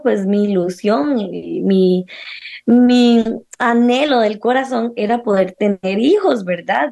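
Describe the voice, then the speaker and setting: A woman's voice: a little high, vocal fry, darkish tone. A Costa Rican woman in her late thirties giving a fervent faith testimony as an interview guest, using many fillers. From Costa Rica. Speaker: guest, singer.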